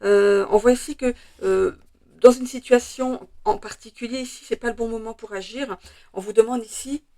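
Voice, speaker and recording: A French woman with a slightly hoarse voice, chatting through a tarot card reading with many hesitations and fillers. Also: a little high, steady tone, normal speed, mellow. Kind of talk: casual weekly tarot reading.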